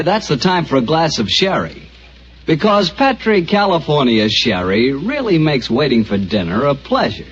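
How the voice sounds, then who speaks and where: A man announcing a 1940s radio show and reading its sponsor's ad in a smooth, lively salesman delivery. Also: mid-range voice, slight background noise. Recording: dull 1940s radio recording.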